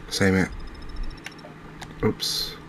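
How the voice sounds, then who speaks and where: A man giving quiet, unscripted ASMR-style game commentary, unhurried and thinking aloud with pauses. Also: a little hiss or hum. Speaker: gaming video host.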